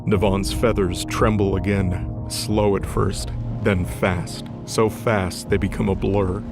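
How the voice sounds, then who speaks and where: A man's voice: sits low, level tone, slow, gravelly, over music. A man reading scripted, dramatic present-tense narration for an audio drama.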